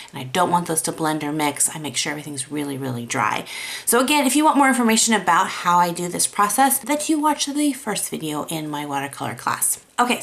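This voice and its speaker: A woman with a raspy voice gives a chatty, encouraging watercolor lesson. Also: lowish voice, a bit bright. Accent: American. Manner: encouraging, chatty, self-deprecating. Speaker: watercolor art teacher. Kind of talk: casual tutorial talk.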